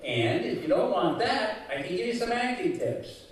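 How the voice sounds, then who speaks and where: A man's voice: high-pitched, sing-song, normal speed, a little hiss or hum. A man playing the talking skull of a washed-up old sitcom actor in a live radio comedy, wry and full of showbiz patter. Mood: coaxing, chummy. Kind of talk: old-time radio comedy acting before a live audience. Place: community center stage, live performance. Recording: very echoey hall, far from mic.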